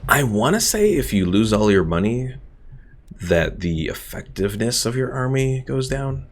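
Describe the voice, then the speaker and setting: A man's voice: on the low side, slight background noise. A young man casually commentating a strategy game stream, thinking aloud and explaining the mechanics as he plays. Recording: game music in background.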